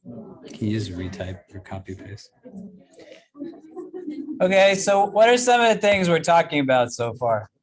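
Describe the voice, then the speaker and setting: A man's voice: on the high side, big pitch swings, unhurried, long pauses. Man teaching an online class. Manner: explains patiently, keeps checking in with "right?". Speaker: imam leading a class. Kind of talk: casual teaching discussion. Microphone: video call.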